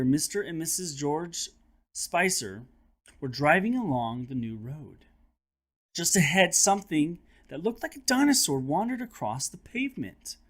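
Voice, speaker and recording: A man hosting a children's read-aloud, telling the story in a dramatic, expressive way with chatty asides. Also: on the high side. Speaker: host and teacher reading aloud to children.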